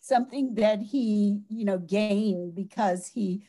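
A woman from Hawaii, a guest in a casual interview, warm and effusive as she talks. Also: mid-range voice, slow.

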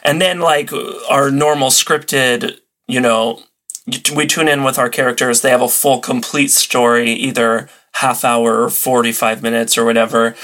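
A man with a mid-range voice chats as a podcast guest. He explains things like an enthusiast, casually and with animated, joking ease. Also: mid-range voice, moderate pace. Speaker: podcast guest, old-time radio enthusiast.

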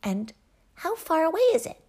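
Woman voicing a playful young jester, high and bouncy, lively swoops. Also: moderate pace. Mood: gentle. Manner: bright, bouncy and gentle. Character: playful, clever young male court jester.

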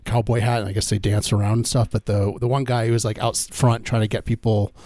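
A man with a lowish, mellow voice in a dry, close recording. He tells absurd stories with a halting deadpan delivery, in the relaxed style of casual comedy podcast banter. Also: no room sound. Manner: hesitant, deadpan absurd riffing.

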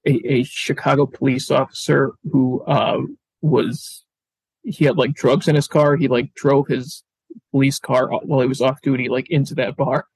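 A man's voice: medium pitch, flat delivery, normal speed, a little mellow, slightly rough, dry recording, rough recording. A man speaking casually as a podcast guest, stammering and often repeating words as he goes. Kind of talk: casual interview talk. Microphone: video call.

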